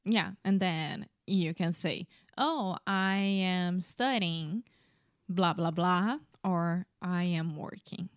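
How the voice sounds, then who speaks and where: A woman's voice: on the low side, slow, no room sound, clean studio sound. A Brazilian woman in her thirties, a native Portuguese speaker, co-hosting an English lesson with brief, agreeable replies. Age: 30s. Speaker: podcaster, co-host. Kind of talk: bilingual language lesson.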